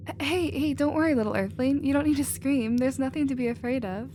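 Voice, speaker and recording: A woman playing a chatty, scatterbrained, friendly alien scientist, informal and gently playful, in a scripted ASMR audio roleplay. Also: sits high, brisk, a little hiss or hum, close to an everyday voice. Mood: soothing.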